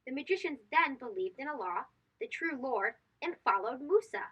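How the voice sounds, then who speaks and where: Animated high-pitched woman reading to children. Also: moderate pace, husky, low-quality recording. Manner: animated, addressing young listeners directly. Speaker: host of children's read-aloud show. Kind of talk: expressive storybook narration for children.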